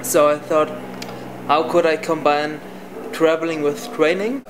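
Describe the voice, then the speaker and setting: Young German man, non-native English, pauses to find words, a touch higher-pitched. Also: fairly even intonation, crowd noise, rough recording. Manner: halting, searching for words in English. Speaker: interviewee. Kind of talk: casual interview answers.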